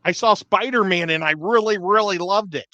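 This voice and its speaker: A man's voice: high-pitched, moderate pace, sharp tone, no room sound. A man in his fifties or older, telling everyday stories in casual chat as a livestream panelist. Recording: dry, dull lo-fi audio.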